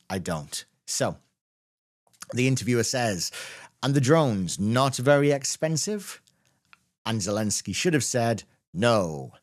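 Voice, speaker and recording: Low-voiced man teaching grammar. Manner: patient, slows down on key words. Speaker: English teacher. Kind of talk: explaining grammar to camera.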